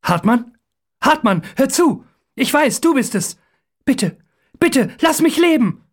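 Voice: medium pitch, normal speed, natural voice. A narrator voicing a terrified captive man in an audiobook, begging urgently and crying for help. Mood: pleading.

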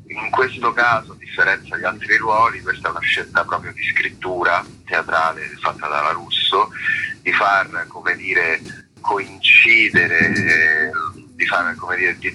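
A man, a stage actor being interviewed, explaining at length in a halting way, searching for words and rephrasing as he goes. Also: sits low, very noisy. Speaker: stage actor presenting his play.

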